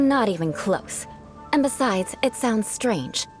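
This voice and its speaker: A woman's voice: a little high, lively intonation, slow pace. An actress playing a proud, haughty young aristocratic woman in a video game, speaking formally and with poise over music. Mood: prim, instructive.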